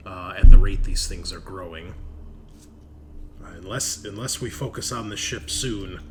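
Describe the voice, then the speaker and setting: A man voicing a grave, alarmed male spaceship crewman in a tabletop game, speaking in halting, formal, measured phrases. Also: on the low side, noisy background, natural voice.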